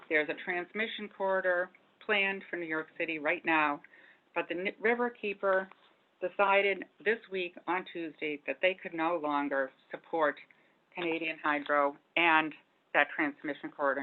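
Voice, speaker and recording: A woman moderating a panel, giving an earnest, emphatic advocacy speech before introducing the speaker. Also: deep voice. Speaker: event organizer, moderator. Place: meeting room. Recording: phone-like narrow audio.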